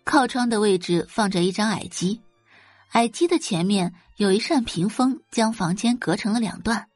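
A woman narrating a dramatic audio novel in an expressive storytelling manner. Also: mid-range voice, moderate pace, dry recording. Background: faint background music.

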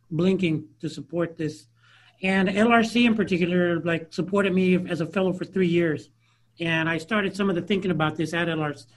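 A man with a notably high voice answers an audience question as an author. He talks loosely, full of "you know" and restarts, circling back as he builds his point. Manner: many fillers and restarts, searching for words. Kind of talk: thoughtful conversational Q&A answer.

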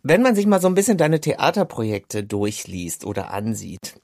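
A man's voice: medium pitch, very animated, normal speed, gravelly, a little mellow, no room sound, studio quality. A man hosting an interview, asking and commenting in a casual, conversational style. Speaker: interviewer, host.

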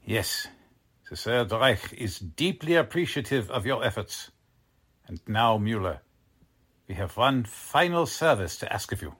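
Radio drama role: imperious spymaster, husky edge, highly animated pitch. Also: male voice, heavily acted. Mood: smooth, formal. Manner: imperious, theatrical, deliberate. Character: haughty, scheming German military officer and Nazi spymaster. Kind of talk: 1940s radio drama acting.

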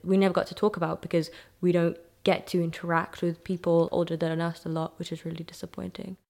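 A young woman from Ethiopia speaks in a slightly low voice. She tells her stories casually and chattily, often filling gaps with "like." Her tone stays relaxed and conversational. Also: fast talker, creaky. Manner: chatty, frequent "like" fillers, warm recollection. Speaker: podcast host being interviewed.

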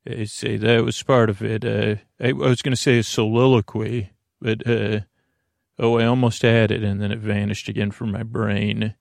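A man with a creaky, gravelly voice hosting a sleep podcast, meandering and halting through tangents and filler words. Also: on the low side, little pitch movement, no room sound, studio quality. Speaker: podcast host. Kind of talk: rambling bedtime sleep podcast monologue.

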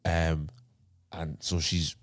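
A man's voice: a bass voice, flat delivery, extremely slow, no echo, clean studio sound. A man casually telling a story on a podcast, rambling with fillers and restarts. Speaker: podcast host. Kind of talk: casual chat.

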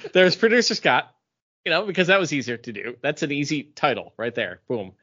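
A man's voice: on the high side, big pitch swings, normal speed, bright, forceful tone, lo-fi sound. A man co-hosting a podcast in casual conversation, joking in a self-deprecating way. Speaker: podcast co-host. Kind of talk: casual chat.

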